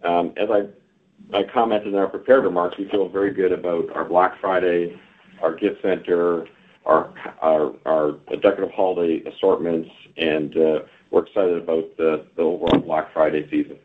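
A deep-voiced man answers as a company executive on a conference call. His delivery is measured and a little halting. The line sounds thin and telephone-like. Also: little pitch movement. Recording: muffled conference phone line.

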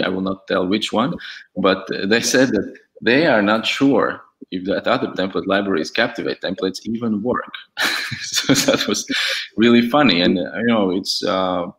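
A young man whose first language is Latvian, speaking English as a guest on a video call with a headset, candid and halting with many restarts. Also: on the low side, a bit bright, low-quality recording. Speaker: guest, e-learning template developer. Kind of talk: casual video call chat.

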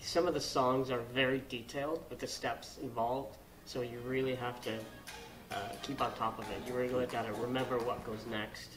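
A man's voice: medium pitch, steady tone, moderate pace. A man from a stage cast chatting casually in a group interview. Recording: echoey room with crowd sound.

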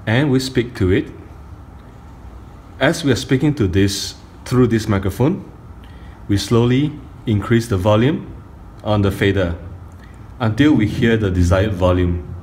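A middle-aged man giving a step-by-step tutorial on audio gear, speaking deliberately in short phrases with pauses. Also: lowish voice, a little mellow. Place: indoor carpeted room. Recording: faint room noise, phone camera audio.

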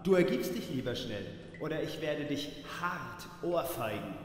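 Man playing an echo-drenched, earnest, high-voiced superhero. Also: lively intonation, measured pace. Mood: indignant, heroic. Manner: declamatory, heroic. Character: earnest, pompously nice male superhero. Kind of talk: comic radio play acting. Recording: very echoey hall, faint noise.

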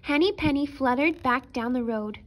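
Woman, very high voice, expressive read-aloud, children's storyteller. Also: moderate pace, slight background noise. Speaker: storyteller for preschoolers.

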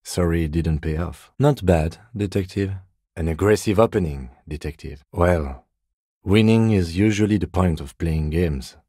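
An actor playing a calm, methodical middle-aged police lieutenant in a video game, measured, understated and dryly wry, with a husky voice. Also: male voice, deep voice, dry recording, studio quality. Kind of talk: video game character dialogue.

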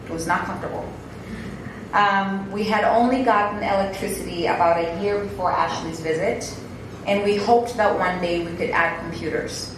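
A young woman from Tanzania, giving a prepared speech in a measured, earnest manner as a guest speaker. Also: mid-range voice. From northern Tanzania. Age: young adult. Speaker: guest speaker, alumna and board member. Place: indoor event room at a podium. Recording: very echoey room, background noise.

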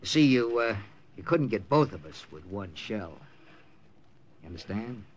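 Low-voiced, hard-boiled American secret agent. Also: male voice, big pitch swings, measured pace, a little hiss or hum. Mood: coldly threatening. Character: wary, distrustful American male secret agent and captain, narrating a war mission. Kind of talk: 1950s radio drama acting, hard-boiled narration. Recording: old radio broadcast with loud music.

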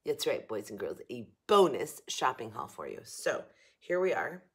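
A slightly hoarse woman in her fifties chatting casually to camera from her home kitchen, rambling and joking about herself as she hosts a shopping haul video. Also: extremely low pitch, very animated, normal speed. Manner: chatty, rambling, self-mocking. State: a little hoarse. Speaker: haul vlogger. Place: home kitchen, indoors, early morning.

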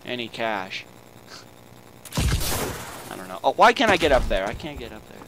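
A man's voice: medium pitch, big pitch swings, unhurried. A boy gamer chatting casually, often crying out and grumbling when he gets killed. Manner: whiny, exclaims often. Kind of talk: casual gaming chat. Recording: noisy home mic.

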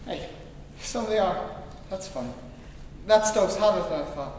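A man's voice: high-pitched, a little slow, a little mellow. A young man on stage playing a troubled, despairing husband and father in a live radio play. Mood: bewildered. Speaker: stage actor. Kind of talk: live 1940s-style radio play acting. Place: theater stage in a large auditorium. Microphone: distant camera. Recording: echoey hall from far off, noisy.

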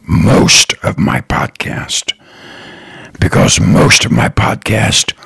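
A man hosting his own podcast, talking slowly and earnestly in a raspy, partly whispered voice. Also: lowish voice, fairly bright tone, no room sound, studio quality. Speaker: podcast host, lawn care business owner. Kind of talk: slow, earnest solo podcast talk.

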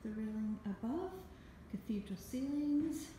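Lady doing a house rental tour on her phone, slow and halting, really echoey empty rooms. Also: a little high, lively intonation. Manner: halting, pausing between short phrases. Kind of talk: walk-through property tour narration. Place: walking through an empty house. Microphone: handheld phone behind the camera. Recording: echoey empty rooms, clear background noise.

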